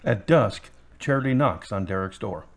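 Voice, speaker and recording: A man's voice: lowish voice, expressive, normal speed, creaky, slight background noise. A man reading scripted narration as the narrator of an audio drama.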